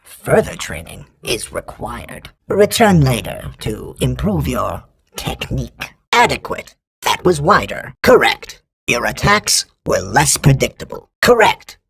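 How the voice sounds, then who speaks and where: An actor voicing an exacting combat training droid in a video game, formal and impassive, with a gravelly, husky robotic voice. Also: male voice, medium pitch, big pitch swings, a little slow, a bit bright, exaggerated voice. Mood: curt, evaluative. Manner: formal, impassive, precise, instructional. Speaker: combat instructor. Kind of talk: scripted video game tutorial lines.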